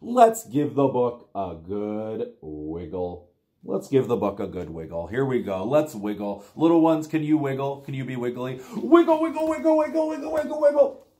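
A man's voice: mid-range voice, normal speed. A man in his forties reading aloud to young children as a storytime host, with an exaggerated, playful, sing-song delivery. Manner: animated, exaggerated, sing-song repetition with gasps. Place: small plain room indoors.

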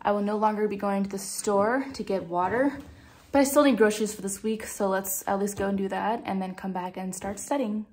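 Young woman, casual vlog chatter, lots of fillers, medium pitch, faint background noise. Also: fairly even intonation, fairly quick, a little mellow. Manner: chatty, filler-heavy, talks to the viewer. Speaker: vlogger.

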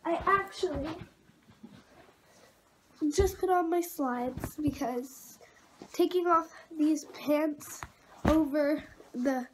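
A woman's voice: high-pitched, slow pace, pauses often. A thirteen-year-old girl chatting casually and in a rambling way, as in a home vlog. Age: 13, young teenager. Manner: rambling, informal. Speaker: vlogger. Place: rooms at home, indoors. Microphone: camera at arm's length or propped nearby. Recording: noisy handheld home recording.

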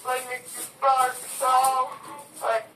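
A teenage boy voicing a fast-food worker and store manager in a staged prank call, hesitant with many fillers. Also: extremely high pitch, flat delivery, pushed and bright, recorded from a distance, faint music behind, exaggerated voice. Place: small bedroom. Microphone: laptop webcam mic. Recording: echoey room, voice from across it.

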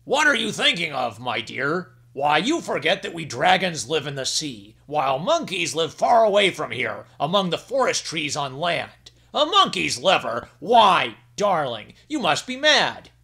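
A man voicing a blustering dragon king who exclaims loudly. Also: mid-range voice, animated, normal speed, breathy edge. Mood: exasperated. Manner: loud exclaiming.

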